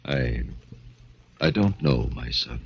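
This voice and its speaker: An actor playing a solemn, gentle older prison priest in 1940s radio drama style, speaking gravely. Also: male voice, a bass voice, animated, slow, full character voice. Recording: muffled, noisy old radio recording.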